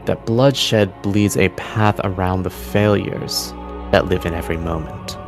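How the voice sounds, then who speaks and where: A man delivering dramatic scripted narration as a game master, solemn and theatrical, with deliberate pauses. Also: low-pitched. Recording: music bed behind the voice. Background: music.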